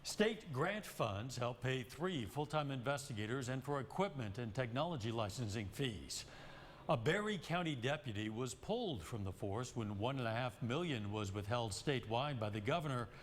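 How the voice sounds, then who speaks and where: A man's voice: mid-range voice, expressive, moderate pace. American male news anchor, faint background noise. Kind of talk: scripted news reading. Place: TV news studio. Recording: director's cue chatter over studio audio, some noise.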